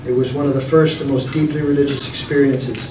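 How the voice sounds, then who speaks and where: A man's voice: medium pitch, little pitch movement. Theatrical male storyteller in an echoey room. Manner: theatrical, deliberate, with dramatic pauses. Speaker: author reading from his own book. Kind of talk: live dramatic storytelling reading. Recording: echoey, noisy room, distant mic.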